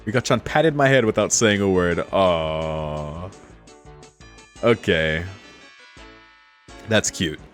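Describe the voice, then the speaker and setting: Low-voiced man doing amused game commentary. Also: slow pace, pauses often, soft background music. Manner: amused, slangy. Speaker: streamer doing a let's play. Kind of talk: casual game commentary, reading lines aloud.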